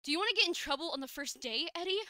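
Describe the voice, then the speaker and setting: A woman's voice: high voice, lively intonation, very fast, sharp tone, dry recording, full character voice. A woman voicing a friendly, assertive young female college student in a comic dub, with a teasing manner. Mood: indignant. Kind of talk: comic dub character acting. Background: music.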